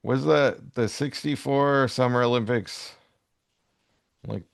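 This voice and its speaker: A man's voice: mid-range voice, slow, vocal fry, no room sound, studio quality. A man co-hosting a casual chat, thinking aloud with short, hesitant reactions. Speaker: podcast co-host.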